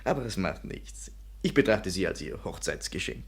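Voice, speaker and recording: Vain young French painter, melodramatic suitor, husky voice, boastful, grandiose, radio play. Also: male voice, low voice, very animated, moderate pace, heavily acted. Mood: self-important. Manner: grandiose, theatrical declarations. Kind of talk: 1950s German radio play acting.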